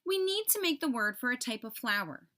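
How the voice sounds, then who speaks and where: Woman playing little storybook letters, very high pitch, childlike, anxious, excitable, exclaiming. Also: a little fast, exaggerated voice. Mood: frustrated, worried. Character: group of small, excitable, anxious young alphabet letters.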